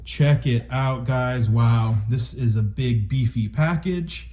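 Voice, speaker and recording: A man recovering from a cold and a little stuffy, chatting excitedly to viewers as he unboxes and reviews a toy. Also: medium pitch, slow pace.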